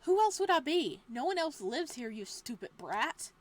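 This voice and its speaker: A woman's voice: sits high, big pitch swings, normal speed, dry recording, pitched above the performer's own voice. A woman voicing a snappish paranoid aunt. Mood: snapping. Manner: snappish, scornful. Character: cranky paranoid middle-aged aunt, woman.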